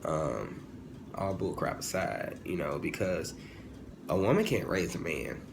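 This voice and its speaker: A man in his twenties giving a casual, humorous opinion monologue as a vlogger, animated and informal, often digressing and correcting himself. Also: deep voice, unhurried. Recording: clearly noisy, ambient background sound.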